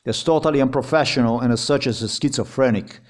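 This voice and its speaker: A man with a Slovenian accent speaks English as a second language, heated and insistent. His pitch sits in an ordinary middle range. He circles back over his points, repeats words and swears as he goes. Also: quick pace. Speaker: vlogger. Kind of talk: agitated personal account.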